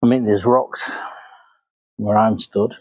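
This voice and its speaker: A man's voice: on the low side, fairly even intonation, takes it slowly, darkish tone, no room sound. A man, walking through woodland and retracing his steps aloud in a casual vlog. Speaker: paranormal investigator. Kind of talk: casual walk-and-talk vlog. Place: woodland outdoors. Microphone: clip-on lapel mic.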